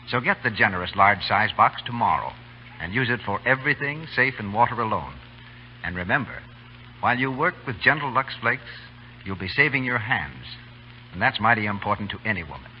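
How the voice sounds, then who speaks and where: A man's voice: fairly low pitch, sing-song, fairly quick. An American man announcing in 1930s radio style with an emphatic salesman's delivery. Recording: muffled old radio with faint hum.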